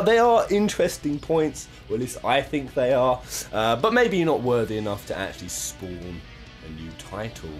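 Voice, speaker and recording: A man hosting a casual, jokey commentary, talking in playful, florid exaggeration with crude swearing. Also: on the low side. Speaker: video host.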